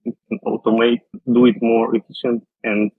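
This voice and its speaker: A man, answering interview questions slowly and carefully, with many fillers and restarts. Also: medium pitch, completely flat delivery, breathy edge, dry recording. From Russia. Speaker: guest, data scientist. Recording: tinny phone-like line.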